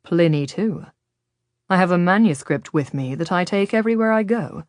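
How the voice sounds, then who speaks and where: A woman's voice: on the low side, animated, moderate pace, a little mellow, dry recording, clean studio sound, lightly acted. A woman voicing a confident, teasing Ottoman galley commander, a man, in an audiobook story. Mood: tempting. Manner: abrupt, teasing, tempting.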